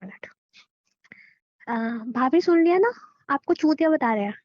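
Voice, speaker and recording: Woman acting as young wife, really high voice, bossy n teasing, keeps nagging, dull lo-fi phone recording. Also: lively intonation, unhurried, dry recording. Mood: mock complaining. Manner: nagging, insistent, repeats herself. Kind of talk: naturalistic husband-wife phone call skit.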